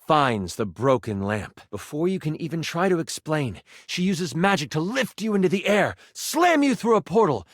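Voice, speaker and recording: An actor playing a theatrical game master, narrating a fantasy adventure in a dramatic, suspenseful way. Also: male voice, on the high side, big pitch swings, moderate pace, few pauses, no echo, clean studio sound. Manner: dramatic, suspenseful second-person storytelling.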